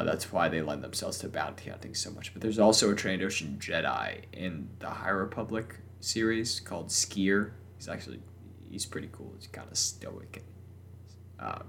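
A young man talks casually in a very low, gravelly voice. His voice sounds weak and strained from a lingering cough. He hesitates often and restarts his sentences. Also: slight background noise. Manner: hesitant, many fillers and restarts. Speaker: podcast host. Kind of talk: casual solo talk.

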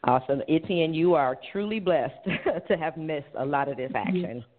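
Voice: medium pitch, animated, normal speed. A host in their forties from Cleveland, Ohio, running a call-in show in a friendly, encouraging way with frequent restarts. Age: 40s. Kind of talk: call-in radio interview. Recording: tinny phone line.

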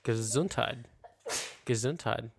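Very deep-voiced woman, chatty co-host. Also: slow, creaky, a little hiss or hum. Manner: agreeable interjections, blunt short reactions. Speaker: podcast co-host. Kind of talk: casual conversational chat.

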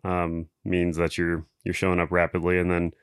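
Guy hosting a podcast, real deep voice, lots of ums, laid back. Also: clean tone, no room sound, studio quality. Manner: relaxed, halting, many ums. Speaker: podcast host. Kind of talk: casual podcast chat.

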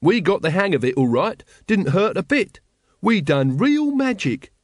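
A man voicing a dim, eager cult follower in an audiobook, excitable and colloquial. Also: high voice, measured pace, no room sound, clean studio sound, voice pushed up, full character voice. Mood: impressed, excited. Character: dim, eager, loyal male cult brother, easily impressed. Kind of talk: audiobook character acting.

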